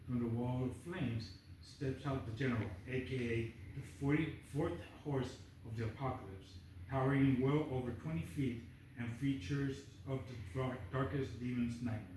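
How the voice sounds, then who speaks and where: A man's voice: mid-range voice, fairly even intonation, moderate pace, a little mellow, recorded from a distance. A man reading screenplay stage directions aloud as the narrator at a table read. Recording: echoey room, off-mic, faint noise.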